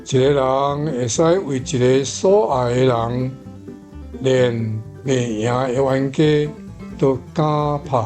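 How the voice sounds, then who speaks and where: A Taiwanese man reads a translation very slowly, like a language lesson, in a soft, dark tone. Light guitar music plays behind him. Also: medium pitch. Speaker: language teacher. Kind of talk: reading out a translation.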